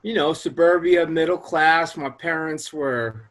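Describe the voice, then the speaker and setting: A man's voice: on the high side, unhurried, fairly bright tone. A man, a recovery coach and guest, talking earnestly and with energy in a casual interview. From Long Island, New York.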